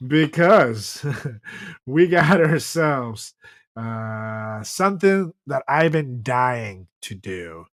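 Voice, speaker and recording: A man's voice: medium pitch, big pitch swings, drawn-out words, long pauses, a bit bright, dry recording. A man hosting a comedy podcast in casual banter, swearing freely and rambling through his stories in a joking way. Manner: profane, joking, rambling storyteller. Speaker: podcast host.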